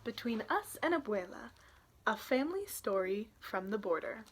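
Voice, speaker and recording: A woman's voice: on the high side, big pitch swings, a little hiss or hum. A young adult woman reading a children's picture book aloud in an informal storytelling manner. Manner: informal, pauses to point out illustrations.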